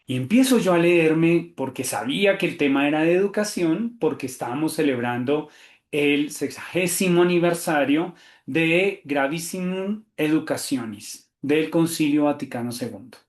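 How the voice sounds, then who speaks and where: A man with a Colombian Spanish accent, a theology professor hosting a webinar, talking quickly and animatedly with frequent fillers and restarts. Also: fairly high pitch, animated, reverberant. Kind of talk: webinar presentation.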